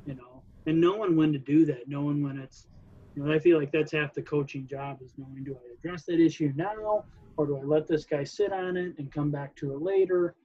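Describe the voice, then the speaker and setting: A man's voice: a little high, fairly quick, warm tone, muffled recording. A man, a coach, talking casually and reflectively, with many "you know"s. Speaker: wrestling coach. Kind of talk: casual conversation.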